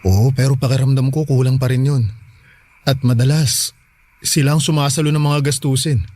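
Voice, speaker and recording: A man playing an earnest, anxious young father in a Filipino radio drama, speaking in a halting, pleading way. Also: medium pitch, fairly even intonation, darkish tone, no echo, lightly acted. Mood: ashamed, troubled.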